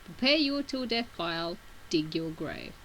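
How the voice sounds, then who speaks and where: A woman's voice: lowish voice, lively intonation, a little slow, a bit bright, slight background noise, low-quality recording. Woman reading aloud with a sore throat. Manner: casual, chatty asides between read text. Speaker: reader of a webcomic. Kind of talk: reading a webcomic aloud with asides.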